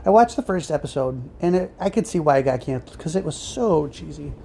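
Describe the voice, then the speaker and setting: A woman's voice: sits low, animated, brisk, vocal fry, warm tone, slight background noise. A woman co-hosting a podcast in a casual, chatty style. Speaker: podcast co-host. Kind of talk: casual chat about superhero movies.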